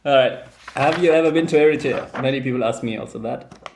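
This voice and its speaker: A young man with a German accent, talking thoughtfully to camera with many pauses and restarts. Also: mid-range voice, a little mellow, slight background noise. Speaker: vlogger. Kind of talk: casual talk to camera.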